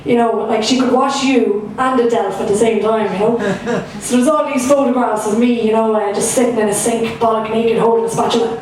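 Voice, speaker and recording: A young Irish woman does a stand-up set in a club, with a fairly high voice and a loose, self-mocking delivery full of fillers. She sounds far from the microphone, and the room echoes heavily around her. A faint hum of room noise sits underneath. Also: steady tone, brisk, hardly any pauses, a little mellow. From Ireland. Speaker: stand-up comedian. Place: comedy club stage.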